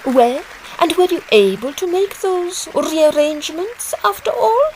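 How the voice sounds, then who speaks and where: Actress as high-voiced, genteel Victorian opera lady. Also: sing-song, measured pace, darkish tone, a faint crowd, slight background noise, exaggerated voice, rough recording. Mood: pleased, playful. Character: affectionate Victorian opera soprano, delighted new bride. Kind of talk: period audio drama acting.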